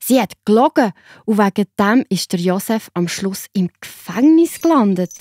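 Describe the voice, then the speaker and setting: A woman's voice: mid-range voice, moderate pace, breathy edge, no echo. Swiss German woman telling children a story. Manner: simple, expressive, explaining to children. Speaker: narrator.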